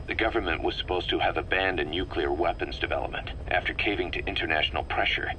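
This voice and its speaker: Deep, forceful military intelligence officer. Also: male voice, a little hiss or hum, low-quality recording. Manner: measured, expository, grave. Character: serious, worldly male military intelligence officer briefing his commander. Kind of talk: scripted video game briefing.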